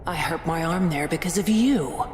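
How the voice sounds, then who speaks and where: A woman's voice: low-pitched, moderate pace, a little mellow, natural voice. An actress playing a cold, scornful, zealous cultist in a video game, mocking and composed as she speaks. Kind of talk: dramatic video game acting. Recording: echoey hall reverb, music behind.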